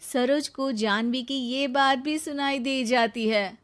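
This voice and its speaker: A woman with a fairly high voice narrating a cartoon moral story. She tells it calmly and plainly, linking the scenes. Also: takes it slowly.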